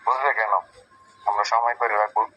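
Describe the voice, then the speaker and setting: A man's voice: fairly low pitch, fairly even intonation, fairly quick, pushed and bright. A man in a casual private phone conversation, giving terse, brief replies. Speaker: son-in-law on a phone call. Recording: noisy recorded phone call.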